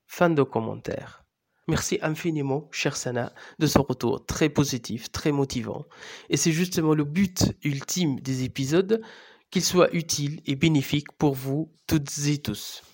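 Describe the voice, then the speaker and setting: A man in his thirties, a native Arabic speaker, hosting a podcast with measured, scripted narration. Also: fairly high pitch. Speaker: podcast host and Arabic teacher.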